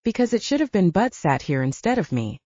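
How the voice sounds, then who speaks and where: A woman's voice: lowish voice, very animated, brisk, dark tone, dry recording, highs cut off. A woman voicing a cocky, teasing, pragmatic male soldier in an audiobook, with casual bantering and coaxing. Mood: defensive. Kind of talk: dramatized audiobook dialogue.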